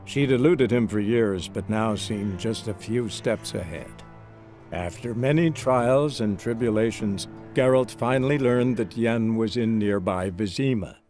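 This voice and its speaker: An actor playing a bard who narrates a friend's adventures in a fantasy game, in a measured, dramatic storybook style. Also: male voice, on the low side, darkish tone, lightly acted. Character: male bard recounting his monster-hunter friend's adventures.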